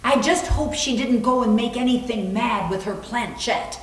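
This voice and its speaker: A woman playing a flippant, nervy young woman in a readers theater, with a wry, expressive delivery. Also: a little high, moderate pace, recorded from a distance, close to an everyday voice. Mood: apologetic. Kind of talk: readers theater, lines with narration. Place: reverberant room at a library reading. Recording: echoey room.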